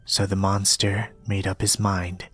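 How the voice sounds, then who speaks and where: A young man reading a storybook in a soft-spoken, partly whispered ASMR style, with a gentle, measured delivery. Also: deep voice, little pitch movement. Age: young adult. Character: polite soft-spoken young male reader, quietly eerie. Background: soft music.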